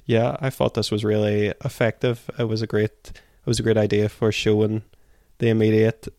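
Male co-host for book discussion: lowish, flat intonation, analytical, very dry close sound. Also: moderate pace, no room sound.

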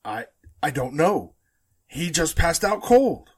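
A man's voice: a little high, big pitch swings, slow, breathy edge, exaggerated voice. A man acting a grim, shaken radio studio man in a 1940s-style radio drama, blunt and somber.

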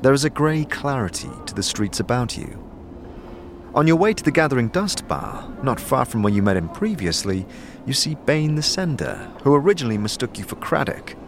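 A man's voice: mid-range voice, very animated, normal speed, slight background noise. A man giving dramatic scripted second-person narration for a fantasy audio drama. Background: music.

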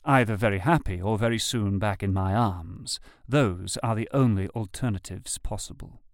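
A man's voice: fairly low pitch, moderate pace, dry recording, clean studio sound, close to an everyday voice. A man reading love letters aloud as a lovesick, anguished writer longing for an absent lover, with heartfelt, literary phrasing. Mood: wistful, wry.

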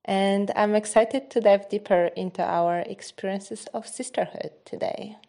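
A woman from Poland calmly introducing herself as a social enterprise founder. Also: medium pitch, a little slow, vocal fry. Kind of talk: self-introduction.

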